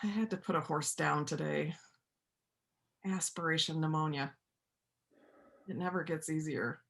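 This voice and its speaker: A woman's voice: deep voice, a little slow, creaky, a bit bright, exaggerated voice. A woman acting a lonely, plainspoken veterinarian and painter in a church reader's theater play. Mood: earnest, subdued.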